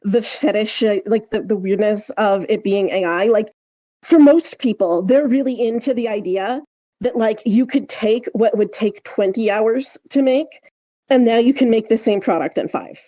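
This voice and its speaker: A woman's voice: mid-range voice, moderate pace, warm tone, highs cut off. A woman co-hosting a casual podcast discussion, explaining her points emphatically and often checking with a tag question. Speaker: podcast co-host.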